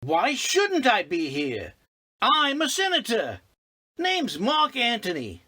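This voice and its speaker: Woman playing a brash, bright-voiced Roman senator. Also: fairly high pitch, huge pitch swings, takes it slowly, breathy edge, full character voice. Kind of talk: old-time radio comedy acting.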